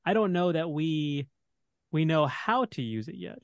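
A man's voice: a little high, lively intonation, a little slow, no room sound, highs cut off. A man from Texas hosting a podcast roundtable, talking in a rambling way with many fillers as he leads the discussion. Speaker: podcast host.

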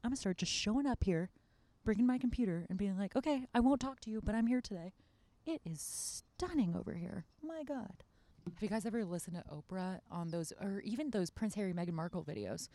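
A woman chatting on a podcast outdoors in a shaded backyard, rambling with fillers and restarts. Her voice sits at an ordinary middle pitch. The sound is close and dry, with no echo around it. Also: lively intonation, a little fast, dark tone. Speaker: podcast guest. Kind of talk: casual podcast chat.

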